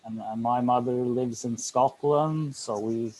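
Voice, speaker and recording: A man's voice: medium pitch, steady tone, unhurried, warm tone, dry recording, low-quality recording. A man chatting casually and a little hesitantly about his holidays. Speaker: group participant. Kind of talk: casual chat.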